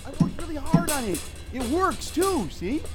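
A performer playing an overeager young tagalong who whines and pleads to be included. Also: male voice, extremely high pitch, normal speed, music in the background. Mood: pleading. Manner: whiny, pleading, excitable. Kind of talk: audio drama acting.

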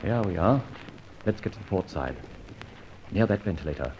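Suave roguish gentleman adventurer, lively pitch. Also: moderate pace. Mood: brisk, confident. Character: suave roguish gentleman adventurer, clipped, breezy, secretly scheming. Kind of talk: 1950s radio adventure serial acting. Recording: muffled, noisy vintage radio recording.

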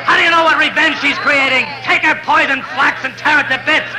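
An actress playing a hostile, fearful townswoman with a shrill, accusing manner in a 1940s radio drama. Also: brisk, lightly acted, poor audio. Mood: accusing, frantic. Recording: old 1940s radio recording.

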